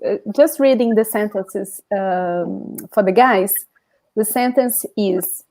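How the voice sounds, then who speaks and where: A woman's voice: medium pitch, slow, mellow. A Brazilian woman with a Brazilian accent, a native Portuguese speaker, teaching an informal live online language lesson.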